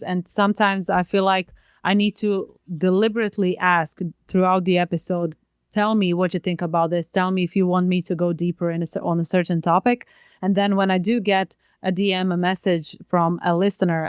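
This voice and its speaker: Smooth-voiced woman, native Croatian speaker. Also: lowish voice, dry recording, studio quality. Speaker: podcast co-host. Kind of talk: casual podcast chat. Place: child's bedroom used as home studio. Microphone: podcast mic at home.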